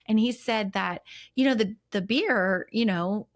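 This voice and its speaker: A woman's voice: mid-range voice, unhurried. A woman co-hosting a casual podcast, talking in a bubbly, rambling way and laughing often. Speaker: podcast co-host.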